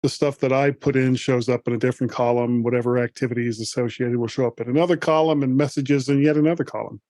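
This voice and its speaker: A man's voice: medium pitch, steady tone, fast talker, darkish tone, no room sound. Matter-of-fact man on a panel. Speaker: panel guest. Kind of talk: casual panel chat.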